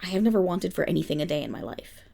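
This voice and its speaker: A woman's voice: on the low side, animated, rapid-fire, gravelly, mellow. A woman playing a proud, indignant noble wolf-girl servant in an ASMR roleplay, with haughty, formal diction that falters when she is flustered. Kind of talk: ASMR roleplay, dramatic voice acting.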